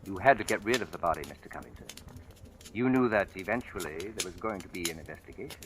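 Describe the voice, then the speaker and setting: A man playing a shrewd, mocking, sinister accuser in a vintage radio drama, speaking in a suave, deliberate, taunting way. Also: deep voice, animated. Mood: cool accusing. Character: shrewd, mocking male accuser, secretly a sinister returned spirit. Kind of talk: 1940s-50s radio drama acting. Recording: noisy, crackly vintage radio recording.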